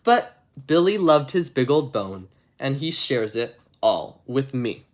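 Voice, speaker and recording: A man's voice: mid-range voice, big pitch swings, slow. A man hosting a livestream of bedtime stories, chatting to viewers and reading aloud in a mock-innocent, tongue-in-cheek manner. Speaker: streamer host reading stories. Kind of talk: livestream chat and storybook read-aloud.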